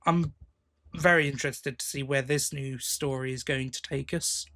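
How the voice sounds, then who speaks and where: A man's voice: medium pitch, fairly even intonation, normal speed, pushed and bright. A man co-hosting a podcast, chatting casually about news. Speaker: podcast co-host. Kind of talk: casual chat about sci-fi audio drama news.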